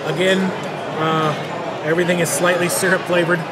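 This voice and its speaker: A man giving a relaxed, chatty food review while he eats in a buffet restaurant. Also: sits high, moderate pace, heavy background noise. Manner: relaxed, chatty, thinks aloud between bites. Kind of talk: casual on-camera food review. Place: busy casino buffet restaurant indoors. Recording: loud crowd chatter and clatter around. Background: loud diners and dish clatter.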